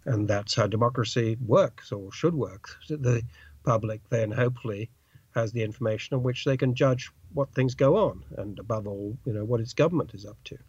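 Older British male journalist, halting delivery, lo-fi dull phone-in sound. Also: mid-range voice, darkish tone, slightly rough, no echo. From Britain. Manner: halting, searching for words with many uh's. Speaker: journalist guest. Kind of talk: interview over the phone. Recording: dull lo-fi line, dry.

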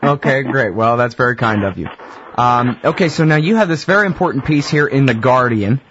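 A man's voice: medium pitch, animated, brisk, background noise. A man hosting a radio interview show, chatting casually and informally with his guest. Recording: dull lo-fi radio audio.

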